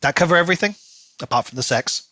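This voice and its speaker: A man's voice: a little high, expressive, fast, pushed and bright, dry recording. A man co-hosting a book podcast, recapping and discussing a novel. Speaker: podcast co-host. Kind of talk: book discussion podcast, reading a plot recap then casual chat.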